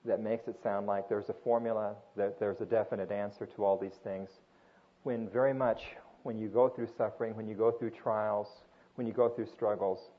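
Older male speaker raised in Japan; earnest, halting church talk; low-pitched, dull recording. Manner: earnest, with frequent restarts and fillers. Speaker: guest speaker at a church gathering. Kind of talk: informal sermon and prayer.

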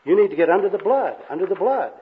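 A man's voice: high voice, very animated, quick pace, phone-quality sound, voice pushed up. A man voicing a pious old gospel worker in an amateur comic skit, with a fervent, florid, preachy delivery.

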